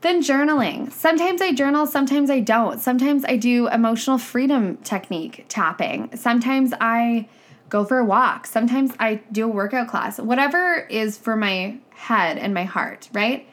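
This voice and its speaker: A woman's voice: a little high, animated, normal speed. A young woman, a business coach, talking casually on her podcast, her voice nasal and stuffy from a cold, frank and chatty. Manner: chatty, frank, says "like" and "right?" often.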